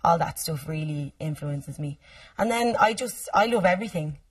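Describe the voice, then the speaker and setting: Deep raspy Irish woman. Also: lively intonation, normal speed, no room sound. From Ireland. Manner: short replies, shy laughs. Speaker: singer guest. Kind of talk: casual radio interview. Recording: distant mic in a dry studio.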